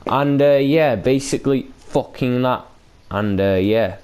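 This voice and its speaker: Guy doing chill game commentary, slow n rambly, hesitant, clean clear voice, kinda sweary. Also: mid-range voice, a little mellow. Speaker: gaming video host. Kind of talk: casual unscripted game commentary.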